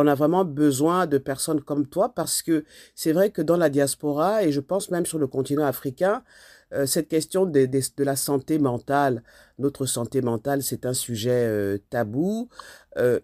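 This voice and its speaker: A woman interviews a guest as a radio host, in a conversational style. Her voice sits at a medium-low speaking pitch for a woman and is recorded close and dry. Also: normal speed, dark tone, dry recording.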